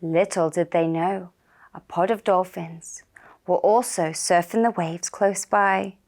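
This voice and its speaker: A woman telling a children's puppet story in a gentle, deliberate and soothing manner. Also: fairly low pitch, fairly even intonation. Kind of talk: slow narrated children's story.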